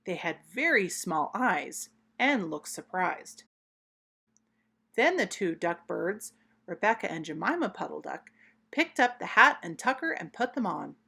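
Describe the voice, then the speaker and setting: A woman reading a children's story aloud, expressive, deliberate and emphatic. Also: lowish voice, vocal fry, husky.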